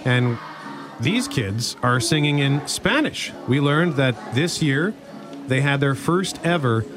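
A man's voice: mid-range voice, animated, slow pace, music in the background. A man co-hosting a live radio show, talking in a casual, conversational way. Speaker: radio co-host.

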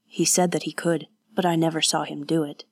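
A woman voicing an awkward, earnest young male warrior who speaks haltingly and often drops to a whisper. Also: low voice, level tone, darkish tone, dry recording.

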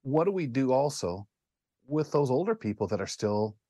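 A man's voice: mid-range voice, big pitch swings, normal speed, dark tone, no room sound, studio quality. A man hosting a casual panel chat in a rambling, conversational way. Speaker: talk show host.

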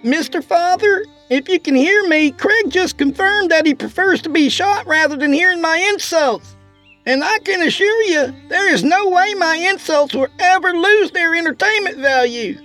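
A man voicing a brash, sharp-tongued, bossy country woman with folksy sarcasm in an audiobook story. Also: high voice, very animated, normal speed, gravelly, soft background music, pitched above the performer's own voice, heavily acted. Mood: mocking shouting.

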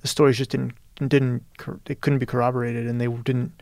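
A man's voice: mid-range voice, little pitch movement, brisk, vocal fry, darkish tone, no room sound. A man from Peru talking as a writer in an interview, thoughtful and searching, often restarting and adding "you know". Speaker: guest writer. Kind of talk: reflective interview conversation.

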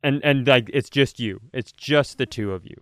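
A man with a clear, smooth voice and a mid-range pitch hosts a casual comedy podcast. He riffs like an animated storyteller, slipping into imagined quotes and swearing freely. The sound is close and dry, with hardly any room in it. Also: normal speed, no echo. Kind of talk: casual comedic chat, improvised hypothetical riffs.

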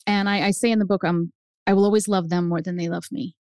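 A woman in her fifties whose first language is Spanish, telling her family story as a guest author, animated and jumping up in pitch for emphasis. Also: fast, no echo, clean studio sound. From United States. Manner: animated, swings up high for emphasis. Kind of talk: personal storytelling in an interview.

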